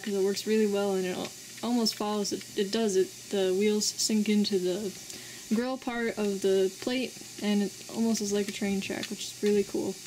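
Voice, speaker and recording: A woman casually reviewing a toy set as host of her channel, relaxed and plainly enthusiastic, pausing often. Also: medium pitch, slight background noise, low-quality recording. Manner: relaxed, plain enthusiasm with frequent filler pauses.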